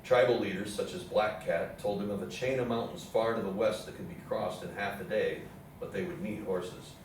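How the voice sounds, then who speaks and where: A low-voiced man delivers scripted historical narration as a play's narrator. He is recorded from a distance in a very echoey room with faint noise. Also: steady tone, moderate pace. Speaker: narrator in a readers theatre play. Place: indoor theatre room with audience program.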